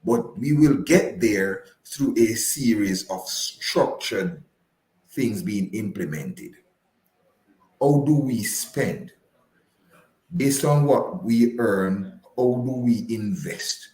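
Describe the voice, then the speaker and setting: A man's voice: lowish voice, lively intonation, takes it slowly, a little mellow, reverberant. A man with a Jamaican accent giving conversational advice as a radio guest, emphatic and didactic, repeating himself and often checking in with the listener. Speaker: guest explaining personal finance. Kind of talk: conversational explanatory radio talk.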